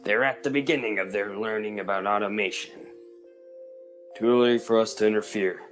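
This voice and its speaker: A man playing a detached, cautious robot advisor in a comic dub, measured and formal. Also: fairly low pitch, fairly bright tone, faint music behind, close to an everyday voice.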